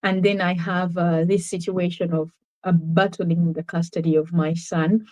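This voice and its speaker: A woman's voice: lowish voice, little pitch movement, a little slow, mellow, rough recording. Earnest Ugandan woman telling her story. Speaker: interview guest. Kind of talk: personal testimony in conversation.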